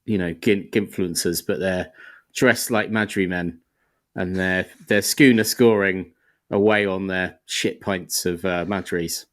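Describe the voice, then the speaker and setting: A man's voice: deep voice, steady tone, a little slow, fairly bright tone. A British man co-hosting a casual podcast, laid-back and jokey in his delivery. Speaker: podcast co-host.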